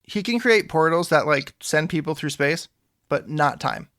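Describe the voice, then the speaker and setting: A man's voice: a little high, lively intonation, measured pace, fairly bright tone, no echo, clean studio sound. A man playing an earnest, awkward young superhero sidekick who politely rambles through explanations in an audio drama. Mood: earnest, explaining. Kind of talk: scripted audio drama dialogue.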